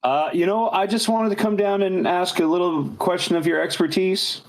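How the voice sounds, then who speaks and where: A man's voice: high-pitched, fairly even intonation, normal speed, poor audio. A man chatting casually as a player in a tabletop role-playing game.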